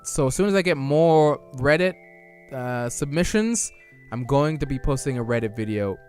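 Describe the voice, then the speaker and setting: A man's voice: mid-range voice, expressive, normal speed, a little mellow, faint music behind. A young man live-streaming, reading viewer chat aloud and answering it casually, his voice wearing out from a long stream. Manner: chatty, reads chat aloud and answers it, loose restarts. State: losing his voice.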